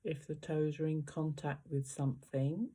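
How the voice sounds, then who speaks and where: A meditation guide giving gentle, unhurried guided instructions with long pauses between phrases. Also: medium pitch, level tone, breathy edge.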